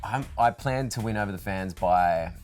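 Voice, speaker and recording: A man's voice: on the low side, normal speed, darkish tone, soft background music. A man, an actor, talking in a wry, joking way in an interview on a TV set. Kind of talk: casual interview. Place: indoor TV studio set.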